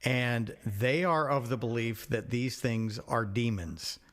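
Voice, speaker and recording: A man's voice: mid-range voice, slow, fairly bright tone. Plain-spoken man chatting casually. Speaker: podcast co-host. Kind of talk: casual opinionated chat.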